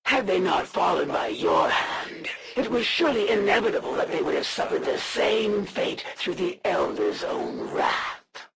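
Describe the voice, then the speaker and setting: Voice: mid-range voice, creaky, heavily acted. An actor voicing a proud, honor-bound alien assassin, a villain in a strategy video game, speaking in a formal, grandiose and solemn way with menace. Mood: haughty, threatening. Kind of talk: dramatic scripted game voice acting.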